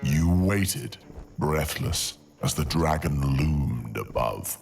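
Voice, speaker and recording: A man narrating a scripted fantasy tale in an ASMR style, with dramatic, deliberate phrasing and long pauses. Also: a bass voice, very animated, gravelly, darkish tone, soft background music. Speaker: audio storyteller, narrator.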